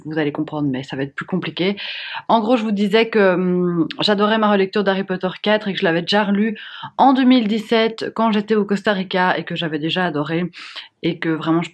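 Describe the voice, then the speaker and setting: A young woman with a stuffy cold, chatting casually to camera about her reading, with frequent fillers. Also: mid-range voice. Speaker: book vlogger. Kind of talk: casual vlog chat to camera.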